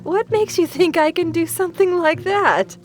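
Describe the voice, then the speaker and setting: An actress playing an amused, kindly, devout neighbor woman in an audio drama, expressive and patient. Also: very high-pitched, moderate pace, over music. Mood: teasing, probing.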